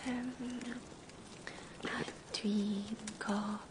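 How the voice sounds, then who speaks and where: A woman playing a gentle, childlike young woman in a radio drama, speaking softly and haltingly. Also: mid-range voice, fairly even intonation, background noise. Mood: halting, fragile. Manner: soft, halting, speaks in fragments of an invented tongue. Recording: soft voice under clear background ambience.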